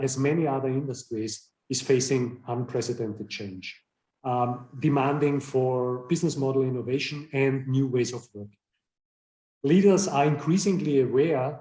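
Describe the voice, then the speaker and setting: A middle-aged man hosting a podcast interview, speaking in a measured, semi-scripted way with hesitant pauses. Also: mid-range voice, dark tone. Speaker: podcast host, consultant. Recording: roomy, echoey sound.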